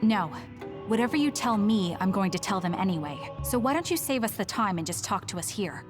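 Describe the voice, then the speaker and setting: An actress playing a guarded, blunt young woman soldier haunted by her memories, in a breathy voice for a video game dub. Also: mid-range voice, lively intonation, fairly quick, over music, natural voice. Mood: firm, impatient.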